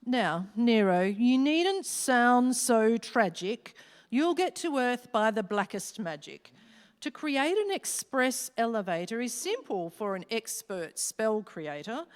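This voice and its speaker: A woman playing a commanding devil who presides over fiends in a rhyming radio play, speaking deliberately and theatrically. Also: a little high, very animated. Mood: grand, instructing. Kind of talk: staged radio play acting. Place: live stage in a hall. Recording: echoey hall with long reverb.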